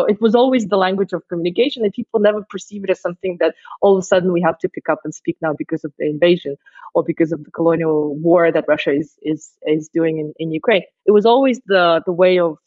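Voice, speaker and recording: A woman's voice: fairly low pitch, darkish tone, no room sound, dull audio. A woman speaking as a guest on a panel, talking fluently about culture and language, with frequent fillers and restarts. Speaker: panel guest, researcher and author. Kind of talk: thoughtful panel talk.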